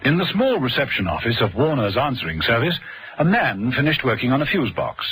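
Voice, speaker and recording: An actor playing the narrator of a radio spy serial, reading scripted story links. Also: male voice, mid-range voice, big pitch swings, a little fast, a bit bright, husky, full character voice, poor audio. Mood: measured storytelling.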